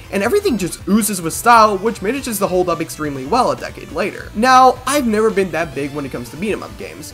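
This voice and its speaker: Man, lively pitch, fast pace, casual video essay host, opinionated, loose mild swearing. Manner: casual, mildly profane, opinionated. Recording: music behind the voice. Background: background music.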